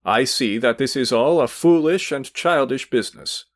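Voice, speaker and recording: A man's voice: mid-range voice, moderate pace, dry recording, clean studio sound, pitched above the performer's own voice, lightly acted. A man reading aloud voices an irritable, pompous middle-aged suitor who speaks with stiff dignity. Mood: haughty.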